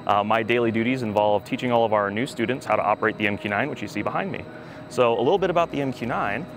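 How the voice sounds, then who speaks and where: A man's voice: mid-range voice, fast talker, a crowd in the background. A man, an instructor pilot, introducing himself matter-of-factly, recorded from a distance in a large hangar. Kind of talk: on-camera introduction. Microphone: camera at a distance.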